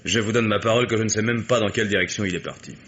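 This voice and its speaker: An actor playing a composed, courteous but evasive private investigator in a French radio mystery play, speaking in measured, polite phrases. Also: male voice, fairly low pitch, steady tone, a bit bright, highs cut off, slight background noise, natural voice. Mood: earnest, conceding.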